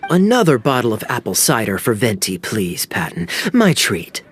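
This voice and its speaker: An actor playing a suave, wily young male captain in a video game, with a smooth, teasing, theatrical delivery. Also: mid-range voice, huge pitch swings, moderate pace, over music. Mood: pleased, scheming.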